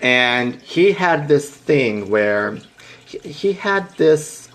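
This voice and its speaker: A man in his twenties casually telling a motivational story to live viewers. He rambles with restarts, jumps into high excited tones and breaks off to greet viewers by name. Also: very slow. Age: 20s. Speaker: livestream host.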